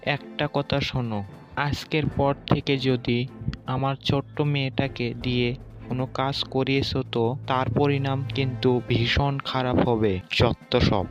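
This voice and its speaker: A man's voice: mid-range voice, little pitch movement, measured pace, over music, natural voice. A man voicing a protective, stern father in a cartoon, emphatic, in slightly muffled sound. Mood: angry, warning. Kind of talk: cartoon voice acting.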